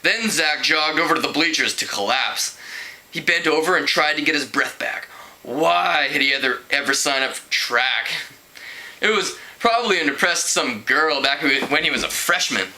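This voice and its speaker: Bright, forceful man reading a story aloud. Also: fairly high pitch, expressive, moderate pace, poor audio. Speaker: amateur book reader. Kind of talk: expressive storybook read-aloud.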